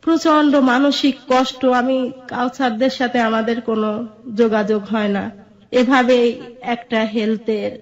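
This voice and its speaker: A Bangladeshi woman tells her personal story to an interviewer. Her voice sits on the high side, and she narrates event by event, often quoting dialogue. The sound is a little muffled and lo-fi. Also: steady tone, measured pace. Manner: recounts events with quoted dialogue. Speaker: guest telling own life story. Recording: dull lo-fi sound.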